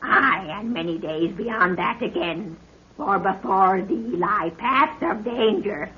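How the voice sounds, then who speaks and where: An actress playing an ancient, cackling prophetess who speaks in drawn-out archaic riddles in an old radio adventure serial. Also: female voice, mid-range voice, animated. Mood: ominous, mysterious. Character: ancient cackling prophetess of a hidden underground world. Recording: noisy, muffled old radio recording.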